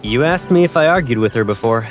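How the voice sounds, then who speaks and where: A man's voice: mid-range voice, lively intonation, fast talker, close to an everyday voice. A man playing a young pilot in an audio drama, playful and protective, teasing a childhood friend with affection. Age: young adult. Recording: faint music behind the voice.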